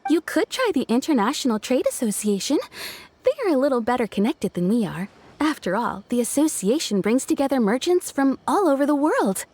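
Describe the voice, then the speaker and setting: A woman's voice: high-pitched, sing-song, brisk, darkish tone. An actress playing a friendly, courteous female kimono shopkeeper. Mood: helpful. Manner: courteous, helpful.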